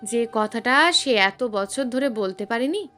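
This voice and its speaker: Woman narrator, high voice, very lively intonation, dramatic suspenseful storytelling, faint music behind. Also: takes it slowly. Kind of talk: dramatic scripted narration of an eerie family story.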